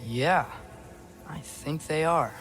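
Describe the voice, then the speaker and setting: A man's voice: on the high side, slow pace, a bit bright, faint music behind, poor audio. A young man playing an earnest, guarded teenage boy hero in a video game, hesitant and stammering when flustered. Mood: awkward, tender. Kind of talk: naturalistic video game acting.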